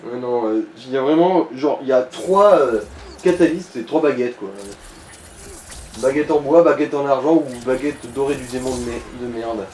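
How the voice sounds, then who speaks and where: Mellow man in a roomy, noisy recording. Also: mid-range voice, normal speed, distant mic. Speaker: let's play co-commentator advising the player. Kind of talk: casual gaming commentary, chat. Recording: roomy echo, clear background noise.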